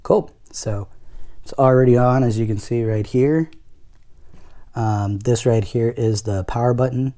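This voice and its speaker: A man's voice: mid-range voice, a little slow, warm tone. A man giving a casual product demonstration, explaining things step by step in an informal way. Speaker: product reviewer. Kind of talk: casual unboxing demo.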